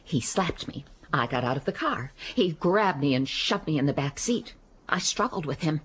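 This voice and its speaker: An actress playing a guarded middle-aged American woman who gives halting, reluctant testimony in a naturalistic radio drama. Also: low voice, animated, slight background noise. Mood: grim, matter-of-fact. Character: guarded, weary American woman witness recalling an assault.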